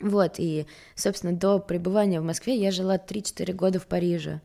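A young woman from Sweden speaks in a low, gravelly register with plenty of vocal fry. She is a model being interviewed, and she tells things candidly, wandering between thoughts and poking fun at herself. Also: steady tone, a little fast, warm tone. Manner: candid, rambling, self-mocking. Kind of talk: casual chat.

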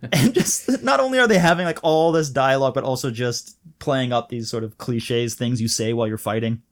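A man's voice: mid-range voice, a little fast. A man in his thirties from Malaysia, co-hosting a podcast and chatting enthusiastically, with a rambling way of talking. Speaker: podcast co-host. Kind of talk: casual chat.

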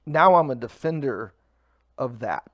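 A man in his fifties who runs a food truck, talking casually in an interview, relaxed and rambling with fillers. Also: medium pitch, unhurried, dry recording.

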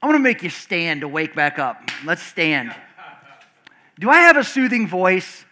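A pastor preaching a lively sermon to his congregation with call and response, his voice screechy and loud, swinging from big high outbursts to low, quiet stretches with many restarts. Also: a little slow, a little hiss or hum. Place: church service before a congregation.

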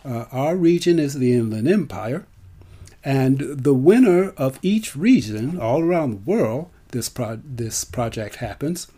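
An older man speaks at a slow pace in a mellow, relaxed voice. He delivers a personal monologue as a working performer, leaning on key words and repeating them deliberately for emphasis. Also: medium pitch, breathy edge. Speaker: actor and performer.

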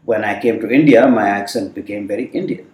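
A man whose first language is Hindi, telling stories from his childhood abroad in a relaxed, thoughtful way with frequent fillers. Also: on the low side, brisk. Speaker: guest. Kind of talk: reflective storytelling in conversation. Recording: roomy sound from a distant mic.

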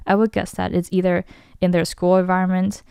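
Woman, podcast host, lowish voice, smooth and clear, dry close sound, rambling, restarts a lot. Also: little pitch movement, brisk, a little mellow, no room sound. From Taiwan. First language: Mandarin Chinese. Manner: thoughtful, rambling, many restarts and self-corrections. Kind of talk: casual podcast discussion.

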